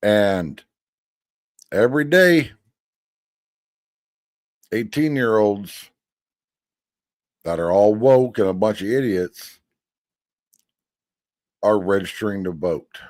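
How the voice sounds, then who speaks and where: A man's voice: fairly low pitch, animated, slow pace, pauses often, a little mellow, no room sound, studio quality. A man in his fifties giving blunt, folksy commentary, now and then swearing. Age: 50s. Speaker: commentator reacting to a hearing. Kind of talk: casual commentary over a clip.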